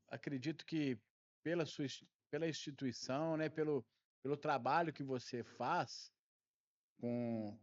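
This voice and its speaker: A Brazilian man hosting a podcast with a Brazilian Portuguese accent, asking questions in a halting way with restarts. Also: fairly high pitch, dry recording. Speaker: podcast host. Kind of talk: interview questions. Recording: dry, dull sound.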